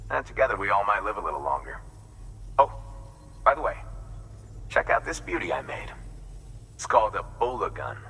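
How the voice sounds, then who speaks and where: A very deep, forceful man, playing a gruff survivalist tinkerer. He talks in a folksy, world-weary way that hides some warmth. The voice sits a little off the mic over faint noise. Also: distant mic, heavily acted, low-quality recording. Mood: friendly, proud. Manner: folksy, colloquial, sighing. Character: gruff, wary prepper craftsman, world-weary but warming. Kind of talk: video game cutscene acting.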